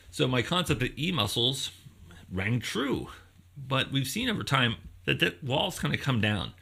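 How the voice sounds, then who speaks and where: A man's voice: fairly low pitch, gravelly, pushed and bright, husky. A man giving an informal explanatory lecture as a professor, conversational and thinking aloud, posing rhetorical questions as he goes.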